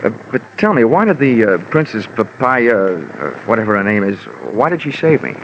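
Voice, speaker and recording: Wisecracking radio detective, swooping delivery. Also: male voice, medium pitch, sing-song, brisk, a little hiss or hum. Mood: sardonic, puzzled. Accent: American. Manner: wry, sardonic, hammed-up delivery. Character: wisecracking, hard-boiled male American insurance investigator narrating his case. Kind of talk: old-time radio detective drama acting. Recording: dull vintage radio recording, music behind.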